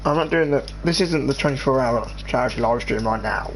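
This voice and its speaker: A teenage boy with an English accent talks over his gameplay, wandering through his thoughts and restarting sentences. His voice sits at an ordinary pitch, and steady background noise runs under it. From England. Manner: rambling, halting, restarts sentences. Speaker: gaming channel host. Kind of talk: casual chat over gameplay.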